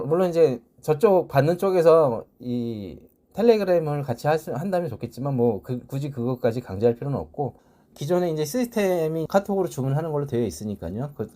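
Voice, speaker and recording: Guy doing a casual how-to, mellow voice, pitch moves around a lot, polite step by step. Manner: explains step by step in polite speech. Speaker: tutorial presenter, salesman who codes. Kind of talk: casual explanatory screen tutorial.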